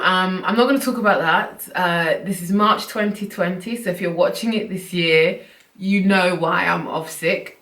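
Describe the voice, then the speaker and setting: A young woman chats to her viewers from home, a little croaky and short of breath. Her voice sits slightly low and comes from a distance, with the room adding a clear echo. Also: fairly bright tone. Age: young adult. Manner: chatty, earnest, loose run-on phrasing. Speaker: vlogger. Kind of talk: casual talk to camera. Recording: roomy, from a distance.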